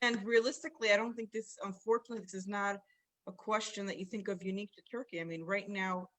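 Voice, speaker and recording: A woman in a casual live-stream chat talks in a relaxed, chatty way, and her tone stays good-humored as she explains. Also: mid-range voice, fast. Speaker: rabbi's wife.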